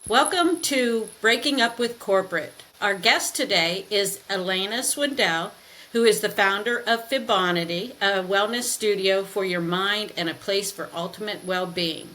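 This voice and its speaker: A woman co-hosting a podcast who works as a real estate agent; she reads the intro and the guest welcome in a steady manner. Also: medium pitch, unhurried.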